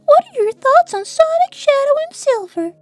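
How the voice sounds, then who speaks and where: Super high squeaky lil bunny girl, cartoon, swoopy, so sweet and proper. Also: very animated, normal speed, a little mellow, faint music behind. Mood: prim, cheerful. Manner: prim, polite, childlike. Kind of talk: cartoon character answering fan questions.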